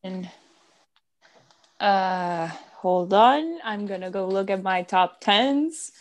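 A woman in relaxed, casual fan chat with a co-host, unhurried and full of fillers. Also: mid-range voice.

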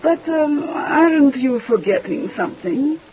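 An actress playing a scheming fortune teller who talks in theatrical, mystical phrases. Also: female voice, high-pitched, animated, a little slow, darkish tone. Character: scheming woman con artist fortune teller. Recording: dull old radio broadcast.

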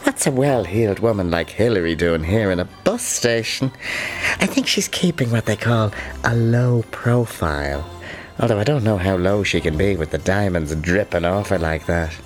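An actor playing a gentle, chatty old Irishman who rambles and muses in an audio drama. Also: lowish voice, animated, normal speed, faint music behind, lightly acted. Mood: musing. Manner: rambling, musing, tag questions. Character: gentle, chatty, ghostly old fellow narrating and watching passengers.

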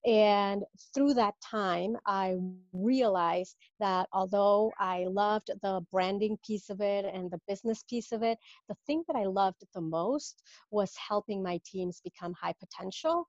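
A woman's voice: medium pitch, unhurried, few pauses, darkish tone, no echo, dull audio. A woman in her fifties from Mexico, a business coach, talking through her career in a casual interview with many fillers and restarts.

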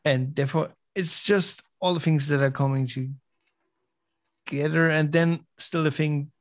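A man speaking English as a second language as a podcast guest, halting and stuttering, with restarts. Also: fairly high pitch, fairly even intonation. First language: not English. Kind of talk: casual gaming chat.

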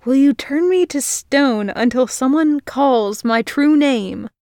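A woman's voice: high voice, sing-song, unhurried, no room sound, voice pushed up. A woman voicing a bold young human girl who teases with smug, dry wit. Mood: teasing.

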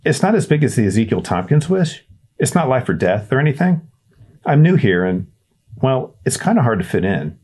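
A man's voice: mid-range voice, sing-song, normal speed, darkish tone, breathy edge, pitched above the performer's own voice. A man voicing a shy, lonely new boy at school who talks hesitantly and apologetically. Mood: shy, hopeful.

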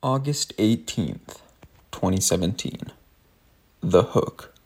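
Male reader, low voice, earnest, deliberate, scripted letter reading, music behind. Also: expressive, breathy edge.

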